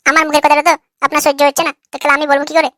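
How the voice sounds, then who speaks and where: A man doing a high, sharp, quarrelsome cartoon husband. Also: completely flat delivery, very fast, no room sound, clean studio sound. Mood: irritated. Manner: combative, crude. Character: irritable, foul-mouthed, quarrelsome young husband. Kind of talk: comic cartoon dialogue.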